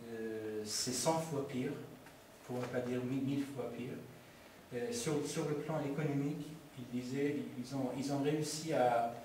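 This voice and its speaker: A man from Iran whose first language is Persian, speaking at a public film debate, halting and full of restarts and fillers. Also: medium pitch, darkish tone. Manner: hesitant, many restarts and fillers. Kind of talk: halting public talk, answering questions. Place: community meeting hall. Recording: very echoey hall, far from mic, faint noise.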